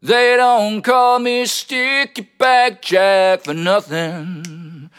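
A man's voice: high-pitched, steady tone, drawn-out words, fairly bright tone, clear, resonant tone. A man performing comic spoken-word poetry in a playful, whimsical way, with rhythmic, rhyming delivery. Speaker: poet and comedian. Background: plucked guitar music.

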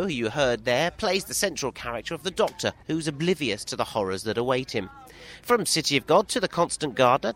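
A man presenting a film report, reading his links in a measured way. In conversation he repeats words and stumbles as he builds his questions. His pitch is ordinary and his voice is plain. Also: pushed and bright. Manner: measured narration, stammering repeats when asking questions. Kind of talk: scripted narration and interview.

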